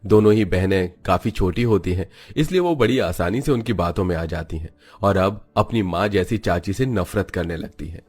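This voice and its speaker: A man narrating a scripted moral story in a steady storytelling manner. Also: low-pitched, moderate pace, hardly any pauses, breathy edge.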